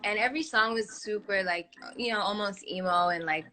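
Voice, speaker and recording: A woman guest in a casual chat, relaxed and reflective, full of likes and you-knows. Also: medium pitch, fairly quick. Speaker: guest musician.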